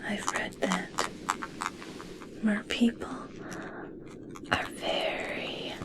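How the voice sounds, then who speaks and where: A slow, breathy whisper from a woman acting as a female scientist in an ASMR roleplay. She is obsessed and awestruck, speaking haltingly and with gentle fascination, as if studying a rare creature up close. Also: mid-range voice, wildly swooping pitch, exaggerated voice. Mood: fascinated, gentle.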